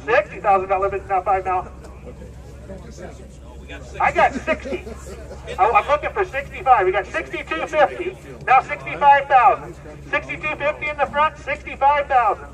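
A male auctioneer with a Midwestern American accent addresses a farm sale. His voice is high for a man. It is picked up outdoors, some way from the microphone. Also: normal speed, long pauses, some chatter behind. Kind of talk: live farm auction calling and announcing. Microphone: distant handheld camera picking up PA. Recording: distant outdoor loudspeaker sound.

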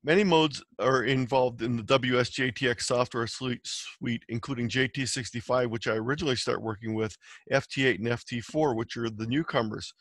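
A man's voice: medium pitch, steady tone, a little fast, a bit bright, dry recording. A man giving an explanatory slide lecture in a plain-spoken, matter-of-fact, instructional way. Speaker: amateur radio presenter.

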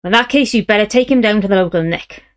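A woman's voice: medium pitch, fast, voice pushed up, natural voice. A woman voicing a big, blustering father who roars his lines in a storybook. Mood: gruff. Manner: loud, roaring. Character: gruff giant of a father, blustering.

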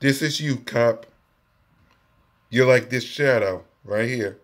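An American man in his thirties giving a mocking, repetitive, drawn-out sports fan taunt, recorded on a phone indoors. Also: mid-range voice. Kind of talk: taunting fan vlog, casual trash talk. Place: small room indoors at home. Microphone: phone at arm's length.

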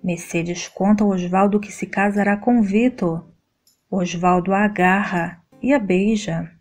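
A woman narrating a scripted soap opera episode recap in a steady, even read-aloud delivery. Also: mid-range voice, unhurried, soft background music.

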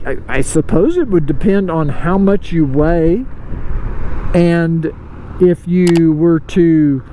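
A man chatting casually to viewers as a motorcycle vlogger, thinking aloud into a helmet mic as he rides. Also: on the high side. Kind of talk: casual rambling chat to viewers while riding. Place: outdoors, riding a motorcycle on suburban roads. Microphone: mic inside or on a motorcycle helmet. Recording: helmet mic with loud engine and road noise. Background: motorcycle and traffic noise.

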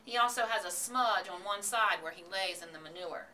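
A determined farmer's wife from a children's story, acted by a woman narrator, emphatic and no-nonsense. Her tone is bright and forceful, recorded slightly away from the mic with clear noise. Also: fairly low pitch, normal speed, recorded from a distance. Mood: insistent. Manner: emphatic, matter-of-fact.

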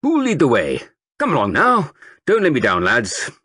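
A blustering, barrel-chested army sergeant major, as a man reads him aloud, booming out a rousing call to arms. His voice swings widely up and down as he harangues the crowd. The sound is close and dry, with no room around it. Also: huge pitch swings, normal speed, sharp tone, breathy edge, no room sound, heavily acted. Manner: booming, rousing recruiting speech.

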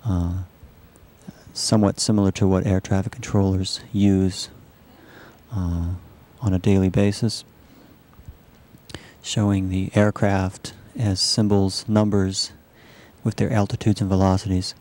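A man narrating a software demonstration as a researcher, explaining it in a halting, measured way. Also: low-pitched, little pitch movement. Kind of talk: live demo narration of a computer animation.

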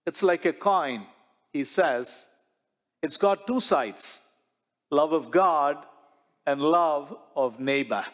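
A middle-aged man delivers a sermon as a priest, speaking slowly and deliberately and leaning on rhetorical questions. His voice sits a little high for a man. A lapel mic catches him in a large sanctuary, and a long church reverb trails every phrase. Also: fairly bright tone. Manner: slow, measured preaching with rhetorical questions and gestures.

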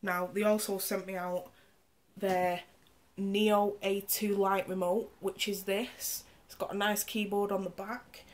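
A woman's voice: mid-range voice, level tone, unhurried. A woman, stuffed up and nasal from a cold, casually reviewing a tech gadget while demonstrating it on screen. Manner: chatty, explains specs while demonstrating. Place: indoor room, camera on the screen.

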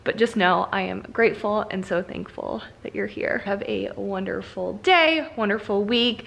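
A woman's voice: mid-range voice, moderate pace, gravelly, fairly bright tone. An American woman in her thirties chatting casually to viewers as a lifestyle vlogger inside a house. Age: 30s.

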